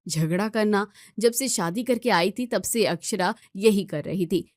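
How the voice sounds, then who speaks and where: Female narrator for family moral tales, medium pitch, scripted storytelling delivery, dry studio-like sound. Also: dry recording.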